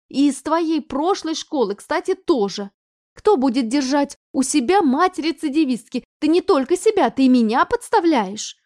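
A woman voicing an anxious middle-aged mother who scolds her daughter in a shrill, rising voice. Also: warm tone, no room sound, clean studio sound, natural voice.

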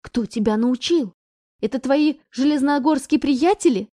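A woman voicing an anxious middle-aged mother who scolds her daughter in a shrill, rising voice. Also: unhurried, dark tone, no room sound, clean studio sound. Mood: horrified.

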